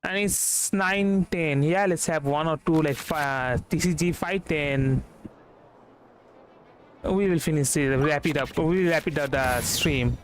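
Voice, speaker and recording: A man's voice: on the high side, a little slow, slight background noise. A man giving casual game stream commentary, musing aloud and repeating himself hesitantly. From India. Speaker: livestreamer. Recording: game music behind the voice. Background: game music.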